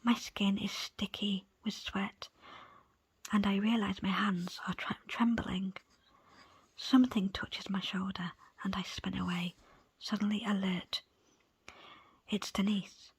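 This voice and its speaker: Slow, whispering woman reading a story. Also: medium pitch, level tone, no room sound, poor audio. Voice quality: breathy, unvoiced whisper. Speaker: audiobook reader. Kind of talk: ASMR whispered first-person story narration.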